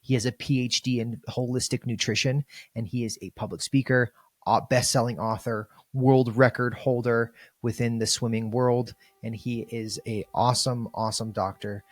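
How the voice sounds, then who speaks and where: A man hosts a podcast interview over a remote call, upbeat and effusive with long, rambling questions. His voice sits at an average pitch and sounds dry and close, with no room around it. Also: steady tone, moderate pace, no room sound. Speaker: podcast host. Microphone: remote, video call.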